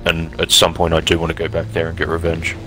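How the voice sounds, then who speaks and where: A gruff male camp boss in a zombie game skit, acted by a man, very low in pitch with a flat, hard-edged, forceful tone. He is guarded and blunt, and faint music runs underneath. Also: fast talker. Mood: grim, firm. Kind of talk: improvised roleplay acting in a video game skit.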